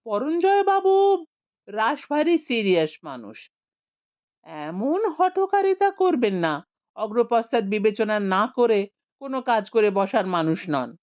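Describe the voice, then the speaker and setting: A woman voicing a pompous male village police officer who speaks formally and deliberately. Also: fairly high pitch, sing-song, darkish tone, lo-fi sound.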